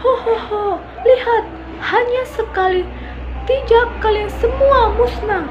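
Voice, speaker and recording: A woman's voice: dull audio, noisy background. Woman doing a boastful laughing elephant. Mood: gloating. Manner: booming mocking laugh, slow gloating. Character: arrogant boastful male elephant, big and mocking.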